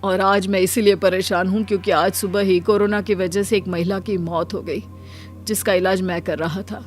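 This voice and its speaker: A woman's voice: medium pitch, fairly even intonation, normal speed, soft background music, close to an everyday voice. Woman as a weary, compassionate male doctor. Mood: sad, burdened. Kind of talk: Hindi moral cartoon story voice acting.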